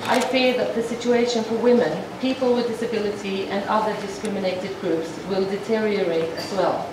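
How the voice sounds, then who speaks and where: A woman voicing a formal speech to an assembly as a sign language interpreter, measured and halting, with frequent restarts. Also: medium pitch, fairly even intonation. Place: large assembly hall. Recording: echoey hall from far off, noisy.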